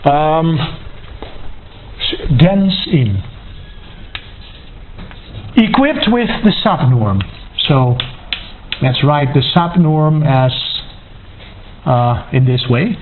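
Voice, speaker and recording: A university maths lecturer thinking aloud through a proof, halting and deliberate in delivery. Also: medium pitch, animated. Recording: dull lo-fi sound, faint noise.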